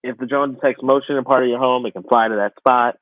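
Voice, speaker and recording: A man's voice: medium pitch, fairly even intonation, fast, no room sound. A man co-hosting a podcast in casual chat, opinionated and incredulous in the way he talks. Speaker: podcast co-host. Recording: thin phone-like radio sound, dry.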